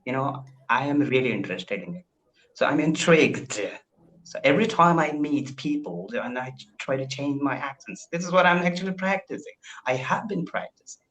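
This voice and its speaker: A man's voice: a little high, expressive, moderate pace, fairly bright tone, rough recording. A young man with an Indian accent, practicing casual English conversation on a video call, often hesitating and repeating words as he starts sentences. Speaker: English learner.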